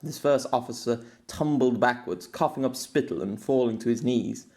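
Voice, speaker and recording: A man narrating an audiobook in a steady storytelling voice. His pitch sits at a middle level for a man. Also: moderate pace. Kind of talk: scripted narration.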